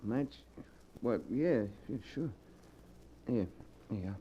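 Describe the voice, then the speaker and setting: An actor playing a desperate, bitter American locksmith in a 1940s radio melodrama, with an urgent, halting delivery. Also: male voice, mid-range voice, animated, warm tone, slight background noise. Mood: startled, resigned. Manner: urgent, halting when cornered. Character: desperate, bitter working-man locksmith husband. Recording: dull old radio recording.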